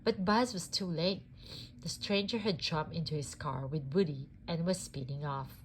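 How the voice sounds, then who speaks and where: A woman reading a children's story aloud in a deliberate, expressive narrating style. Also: low-pitched, slight background noise, low-quality recording. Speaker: storybook reader.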